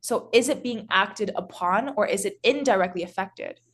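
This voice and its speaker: A woman teaching French grammar in English, explaining slowly with many pauses, restarts and filler words. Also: medium pitch, slightly rough. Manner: hesitant, frequent restarts and "so". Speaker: French tutor.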